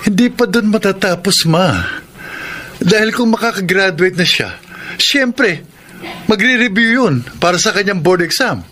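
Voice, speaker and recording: A young Filipino husband who pleads and demands. His voice is high and aggrieved, in a melodramatic radio drama style, with faint noise behind it. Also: measured pace, sharp tone. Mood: complaining.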